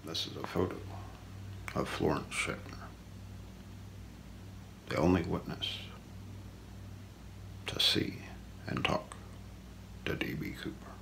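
A middle-aged man narrates true crime for ASMR in a very low voice full of heavy creak. He speaks slowly and deliberately, hushed and often close to a whisper, recorded close up in a small room. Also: poor audio. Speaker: ASMR role-play narrator. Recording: faint background noise.

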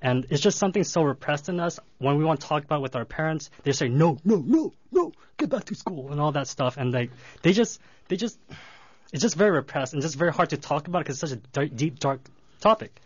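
A man's voice: on the high side, fast, no echo. An enthusiastic young man, a comedian guest, chatting eagerly in a radio studio. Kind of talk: casual interview chat. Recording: dull lo-fi studio sound.

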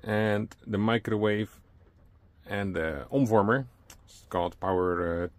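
A man with a Dutch accent, a native Dutch speaker, talking casually and a bit haltingly as he shows and explains things in a truck vlog. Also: fairly low pitch, steady tone, a little hiss or hum. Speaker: truck driver and vlogger. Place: inside a truck cab.

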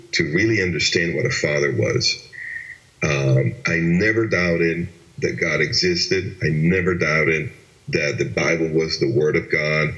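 A man's voice: a bass voice, sharp tone. A man in his forties from Venezuela, telling his life story earnestly as a guest in an interview. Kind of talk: personal testimony interview. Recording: dull lo-fi recording.

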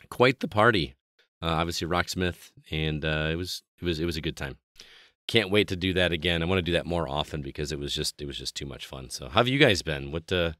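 A man hosting a video game podcast in casual chat, his voice slightly hoarse, upbeat and chatty, often trailing off low at phrase ends. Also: bright, forceful tone, dry recording, studio quality. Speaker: podcast host.

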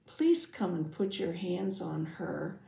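A woman voicing a devout, anxious father who earnestly tells his Bible story, dramatically, with hushed phrase endings. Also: lowish voice, very animated, takes it slowly, vocal fry, heavily acted. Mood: anxious, urgent. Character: devout anxious father, synagogue leader, telling his testimony. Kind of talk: first-person Bible storytelling for families. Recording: roomy, echoing sound.

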